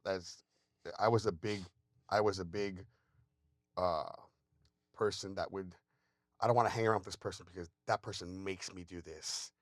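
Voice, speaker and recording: A man's voice: deep voice, fairly even intonation, moderate pace, pauses often, no echo, studio quality. A man hosting a podcast, talking candidly and reflecting on himself, often restarting his words. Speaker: podcast host. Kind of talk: casual conversation.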